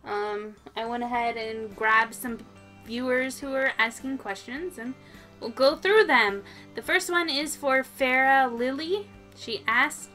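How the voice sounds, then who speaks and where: A woman's voice: fairly high pitch, sharp tone. A woman co-hosting a toy show in casual, informal chat, thinking aloud as she goes.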